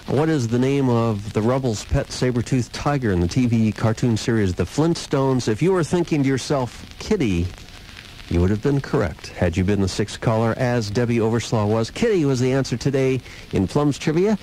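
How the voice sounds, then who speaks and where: A man's voice: mid-range voice, expressive, normal speed, warm tone. A man working as a radio DJ, talking casually on air. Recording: noisy old radio aircheck tape.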